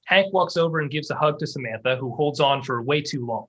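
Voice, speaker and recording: A man narrates a script's scene headings and actions. His voice is bright and forceful, sitting slightly high for a man. The delivery is scripted narration. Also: brisk, breathy edge. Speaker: stage-direction reader.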